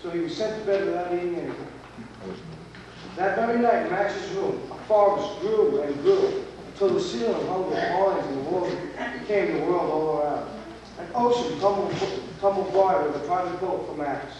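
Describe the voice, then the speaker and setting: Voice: medium pitch, normal speed. A performer narrating a children's story on stage in a declamatory, storytelling manner. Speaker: reader's theater narrator. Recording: echoey hall, distant mic, noisy amateur tape.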